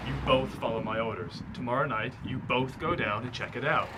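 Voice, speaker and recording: A man acting in a radio drama as a taunting, persuasive criminal ringleader with a husky voice and theatrical delivery. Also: medium pitch, a little fast, heavy background noise, natural voice. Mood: commanding. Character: smooth-talking, menacing male criminal ringleader, needling and bossy.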